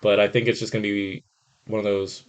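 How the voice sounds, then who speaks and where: A man's voice: lowish voice, little pitch movement, fairly quick, clear, resonant tone. A man co-hosting a podcast, giving casual opinions on movies in informal, sweary language. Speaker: podcast co-host. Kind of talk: casual chat about movies.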